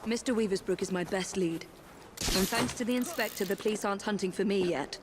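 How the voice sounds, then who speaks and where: A woman's voice: mid-range voice, brisk, noisy background, lightly acted. An actress playing a resolute, composed woman detective hunting a killer in a video game drama. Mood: determined. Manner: composed, determined.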